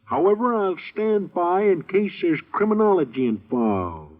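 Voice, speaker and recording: High, slow, dopey comic character. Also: male voice, big pitch swings. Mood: pompous. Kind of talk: old radio comedy commercial acting. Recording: tinny old radio recording.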